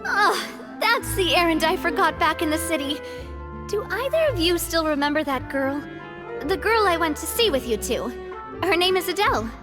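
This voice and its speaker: A woman's voice: very high voice, normal speed, over music. A woman playing a confident, cheerful and gracious young lady boss in a video game, speaking in an encouraging way. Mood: cheerful, reassuring. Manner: bright, encouraging, animated.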